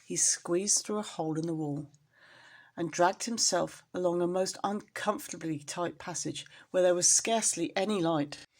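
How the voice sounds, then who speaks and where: A woman storyteller reading expressively to young children, in an engaging, animated manner. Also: on the low side, moderate pace. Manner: animated, addresses young listeners with questions.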